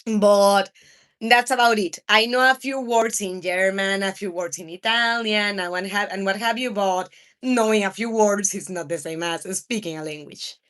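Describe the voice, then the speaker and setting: A woman's voice: mid-range voice, moderate pace, a bit bright. A woman in her thirties from Mexico, a native Spanish speaker with a Mexican accent, chatting casually with viewers as a livestream host. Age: 30s. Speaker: video game live streamer.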